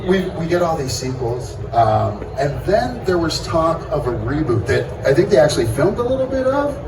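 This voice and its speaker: A man's voice: fairly high pitch, sing-song, moderate pace, crowd noise. A man moderating a convention panel in a casual, unscripted way. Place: convention hall panel with audience. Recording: echoey hall, distant mic, noisy.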